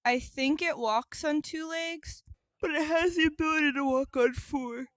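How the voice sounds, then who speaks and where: Woman, higher-pitched voice, podcast co-host, halting conversational delivery, close dry sound. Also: no echo. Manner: halting, improvised descriptions with frequent "like". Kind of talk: casual podcast chat.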